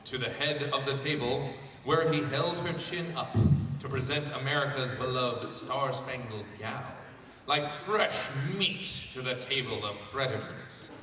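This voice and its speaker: A man's voice: medium pitch, measured pace, hardly any pauses, bright, forceful tone, a little hiss or hum. A man reading fan fiction aloud to a panel at a fan convention. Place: large convention panel room. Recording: echoey hall, distant mic.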